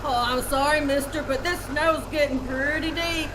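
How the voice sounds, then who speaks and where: A woman's voice: high-pitched, fairly even intonation, normal speed, natural voice. A woman playing a folksy, slangy, easygoing young male bus driver in an old-time radio comedy. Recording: very noisy live theater recording.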